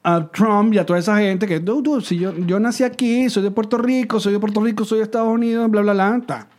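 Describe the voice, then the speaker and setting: A man's voice: sits high, fairly quick, a little mellow. A man, co-hosting a casual podcast chat, joking and laughing often. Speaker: podcast co-host.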